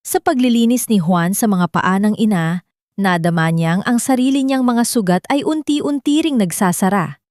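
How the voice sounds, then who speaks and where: A woman's voice: medium pitch, expressive, moderate pace, darkish tone, no room sound, clean studio sound. A woman narrating a dramatic audio love story as scripted storytelling.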